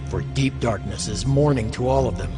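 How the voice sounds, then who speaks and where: Man playing anguished biblical sufferer, solemn, declamatory, bitter yet defiant, mid-pitched, clear musical backing, dramatized scripture. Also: normal speed, music in the background, natural voice. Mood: indignant lament.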